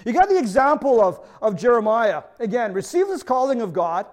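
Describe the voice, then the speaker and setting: High-voiced French-accented man preaching. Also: big pitch swings, brisk. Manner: earnest, preaches with repeated rhetorical questions to the congregation. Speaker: pastor. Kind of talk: sermon.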